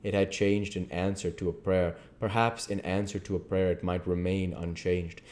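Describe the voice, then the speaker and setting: A man narrating a literary novel as an audiobook reader, deliberate in delivery and now and then stumbling over the text. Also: low-pitched, fairly even intonation, a little mellow. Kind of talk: literary narration read aloud.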